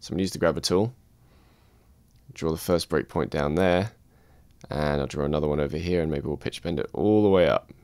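Male instructor, low dry voice, calm step-by-step tutorial read. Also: brisk, clear, resonant tone, no room sound. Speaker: music production instructor. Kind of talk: screen-recorded software tutorial.

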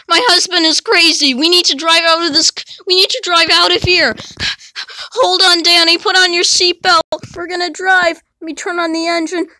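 A woman voicing a frightened mother who flees a haunted hotel with her son, high and panicked. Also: pushed and bright, exaggerated voice.

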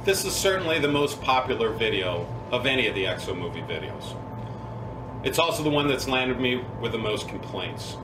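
A man's voice: medium pitch, very animated, moderate pace, far from the mic. A middle-aged American man, a naval officer, giving a mock-serious introduction to a homemade video. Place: small room aboard a ship. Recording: echoey, noisy amateur video from afar.

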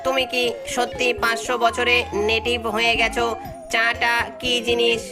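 A woman acts out a stern alien commander set on taking over Earth. The cartoon voice is fairly high and very even, formal and clipped in its orders, over clear background music. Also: normal speed, lightly acted. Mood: stern, questioning. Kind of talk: animated cartoon voice acting.

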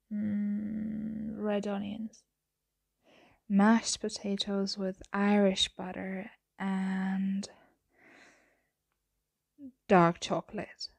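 Slow, breathy, flirty roommate woman acting it. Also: medium pitch, exaggerated voice. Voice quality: soft, breathy, frequently whispering. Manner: slow, hesitant, teasing murmur. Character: flirtatious, teasing female roommate playing vampire. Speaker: ASMR roleplay voice actor. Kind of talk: ASMR roleplay, intimate and close, often whispered.

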